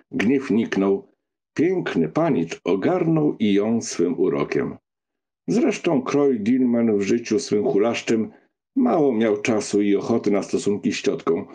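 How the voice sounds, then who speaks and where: A man reading a literary novel as an audiobook narrator, with an expressive storytelling delivery. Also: fairly low pitch, breathy edge.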